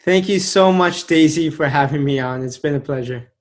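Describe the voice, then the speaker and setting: A man in his late twenties, a motivational speaker telling his story as an interview guest, earnest and emphatic, slowing down to stress key phrases. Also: on the high side. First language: an Indian language. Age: 20s.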